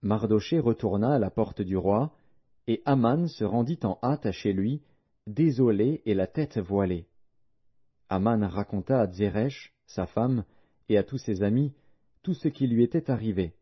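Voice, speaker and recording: A man's voice: lowish voice, animated, measured pace, breathy edge. A man narrating a Bible audiobook in a solemn, scripted reading style. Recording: dull, lo-fi audio.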